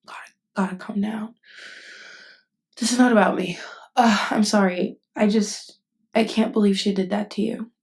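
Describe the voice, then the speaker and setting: A woman's voice: medium pitch, steady tone, normal speed, pauses often, darkish tone, natural voice. A woman voice acting a caring, indignant best friend in an intimate ASMR roleplay, speaking casually and bluntly. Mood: earnest, subdued. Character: protective, indignant female best friend comforting someone. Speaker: voice actress.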